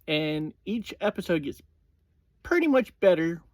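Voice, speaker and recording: A man hosts an informal recap in a fairly high-pitched voice. He talks very slowly and haltingly, breaking his sentences into short pieces and restarting with "well". The sound is dry, with hardly any room on it. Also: very animated, dry recording. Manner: halting, starting many phrases with "well". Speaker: host of a review series. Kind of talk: casual episode review, informal recap.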